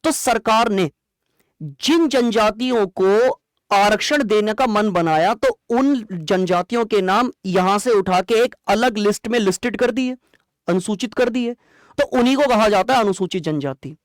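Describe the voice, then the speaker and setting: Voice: medium pitch, a little slow, no room sound. An exam-prep teacher explains conversationally in a lecture style, asking questions and answering them, repeating key points and checking understanding.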